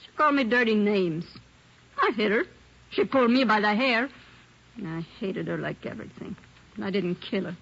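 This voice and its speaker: A woman's voice: moderate pace, creaky, pauses often, fairly bright tone, slightly rough. An actress playing a hot-tempered young woman suspected of murder, sullen and defiant under questioning, on an old radio drama. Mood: sullen, bitter. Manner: sullen then shrill, insisting, bitter. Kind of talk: 1940s radio acting. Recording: muffled old radio recording.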